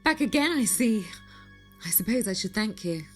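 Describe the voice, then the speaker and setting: A woman's voice: medium pitch, lively intonation, moderate pace, faint music behind, lightly acted. A woman playing a snobbish, curt and easily offended lord's widow in a podcast audio drama. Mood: curt, guarded. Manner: curt, indignant. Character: haughty, snobbish widow of a village lord.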